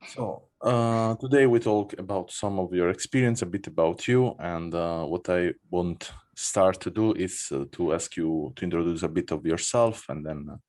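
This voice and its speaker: A male podcast host interviewing a guest, speaking haltingly with many fillers and non-native phrasing. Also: sits low, a little mellow.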